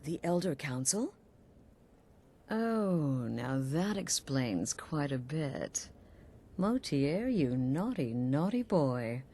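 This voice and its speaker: A woman's voice: low-pitched, big pitch swings, takes it slowly, mellow, slight background noise, full character voice. An actress playing a sly, sultry female leader of assassins in a video game, purring and taunting theatrically. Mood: wicked relish. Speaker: video game character actor.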